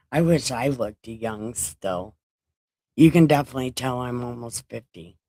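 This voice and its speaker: A woman's voice: extremely low pitch, a little mellow, no room sound. A woman around forty who reads scripture to a live chat in a casual way, with profane, joking asides between passages. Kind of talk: casual read-along chat.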